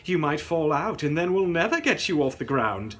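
A man's voice: a little high, sing-song, normal speed, a bit bright, voice pushed up. A man voicing a mocking, spiteful eighteen-year-old Victorian sister in a gothic storybook reading. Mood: sharp, alarmed. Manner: mocking, theatrical.

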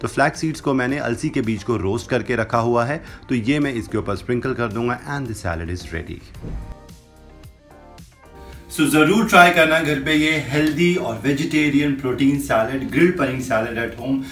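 A young man hosts a home cooking show in an instructive, steady way, dropping English phrases into his explanations. His voice sits at an average pitch. Faint music plays softly beneath him. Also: moderate pace, few pauses. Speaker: cooking channel host. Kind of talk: recipe demonstration voice-over. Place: home kitchen with brick wall.

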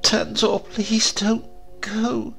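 A man reading a storybook, voicing a clingy young woman who pleads in a high, tearful voice. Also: level tone, extremely slow, creaky, fairly bright tone, breathy edge, faint music behind, exaggerated voice. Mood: pleading.

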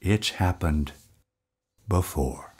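A very low-voiced man in a radio play, evasive and placating. His delivery is slow and hesitant, with swings in pitch as he guards a secret. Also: lively intonation, a little mellow, breathy edge, heavily acted. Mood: grave, hesitant. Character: evasive, soothing husband hiding an uncanny secret. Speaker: actor in an audio play. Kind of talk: audio drama acting.

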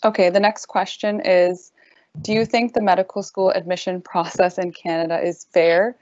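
A woman's voice: fairly low pitch, little pitch movement, normal speed, a little mellow, no room sound. Woman reading out questions on a zoom call, dry and kinda muffled. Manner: brief and polite. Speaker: seminar moderator.

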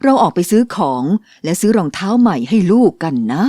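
A woman voicing a gentle, patient mother in a dubbed cartoon, speaking in a soothing, coaxing way. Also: medium pitch, expressive, brisk, no echo, studio quality, close to an everyday voice. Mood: giving in. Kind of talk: cartoon dubbing.

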